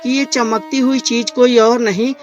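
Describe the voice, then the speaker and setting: A woman's voice: on the high side, steady tone, unhurried, muffled recording. A woman narrating a scripted children's moral story. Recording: music behind the voice.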